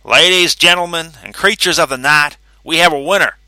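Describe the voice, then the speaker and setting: A man's voice: a little high, moderate pace, bright, forceful tone, no echo, pitched above the performer's own voice, low-quality recording. A man voicing a pompous male show president making grand announcements.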